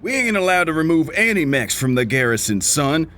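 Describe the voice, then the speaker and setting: A man playing a grizzled middle-aged mercenary major, gruff, profane and folksy in a sci-fi radio play. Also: on the high side, very animated, brisk, noisy background, voice pushed up. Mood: angry, incredulous.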